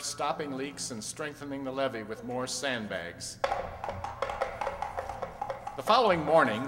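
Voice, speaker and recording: A solemn storyteller narrates an old-time radio western, performed by a man. His dramatic, scripted delivery sits a little high in pitch. The voice carries hall reverb. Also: lightly acted. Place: stage in a community hall. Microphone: stand microphone. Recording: echoey hall stage.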